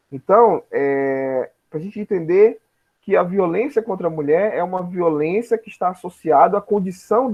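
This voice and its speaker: A man's voice: a little high, phone-quality sound. A man with a Brazilian Portuguese accent giving an informal lecture in a didactic way, often checking that listeners follow. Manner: didactic, frequent tag questions checking understanding. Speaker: teacher, lecturer.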